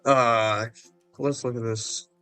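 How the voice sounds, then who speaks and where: A man's voice: medium pitch, fairly even intonation, slow pace, sharp tone, clean tone, faint music behind. A man giving casual commentary on a game he plays, amused and thinking aloud. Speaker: gaming video host.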